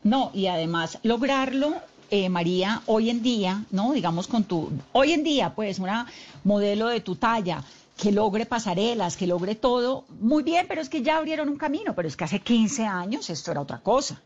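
A woman's voice: fairly high pitch, expressive, moderate pace, a little mellow. Affirming woman interviewer, muffled sound. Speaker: interviewer, host. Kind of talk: interview questions.

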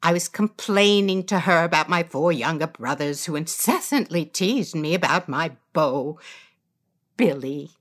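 A woman playing an elderly narrator who recalls her youth in measured, dramatic audio drama storytelling. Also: deep voice, expressive, fairly bright tone, full character voice. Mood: nostalgic. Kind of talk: scripted first-person audio drama narration.

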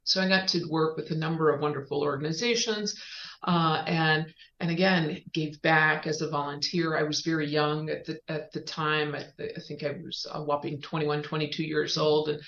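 A woman's voice: deep voice, fairly even intonation, normal speed, a bit bright. A middle-aged woman from Spain giving a conversational interview answer about herself, correcting herself as she goes. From Spain, on US military bases. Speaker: guest, economic development executive. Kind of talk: interview answer, career narrative. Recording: roomy, slightly dull sound.

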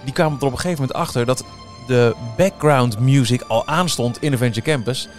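A man's voice: mid-range voice, fairly quick, a little mellow, faint music behind. A man hosting a podcast, chatting casually with co-hosts about theme park news. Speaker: podcast host.

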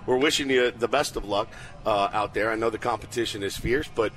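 A man's voice: fairly low pitch, a little fast, fairly bright tone, low-quality recording. A man with an American accent co-hosting sports radio with informal, casual banter. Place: on location at a baseball spring training camp.

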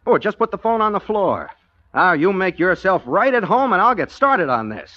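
An actor playing an earnest, daydreaming rookie private detective, boyish and wryly self-deprecating, in 1940s radio style. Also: male voice, high voice, big pitch swings, moderate pace. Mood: wry, hopeful. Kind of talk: 1940s radio acting with first-person narration. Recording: dull vintage 1940s radio, music behind.

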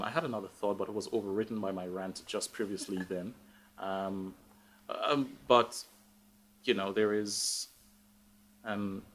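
A man's voice: fairly low pitch, a bit bright. A man with a Guyanese accent talking casually as an interview guest, thinking aloud in a reflective, hesitant way. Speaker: interview guest, tech professional. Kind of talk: casual live conversation.

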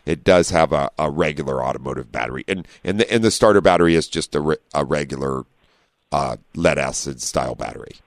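Deep friendly guy hosting a radio call-in show, lots of uhs, very low voice, dry studio sound. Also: a little fast, creaky, no echo. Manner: friendly, chatty, many fillers. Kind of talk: casual talk radio hosting. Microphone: studio microphone.

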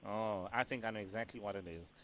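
A man's voice: lowish voice, fast, a bit bright, a little hiss or hum, lightly acted. A man playing a sassy, overfamiliar prank caller who keeps calling the listener "girl" and "doll". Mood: sassy teasing. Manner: teasing, calls her "girl" and "doll". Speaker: radio host doing a prank call.

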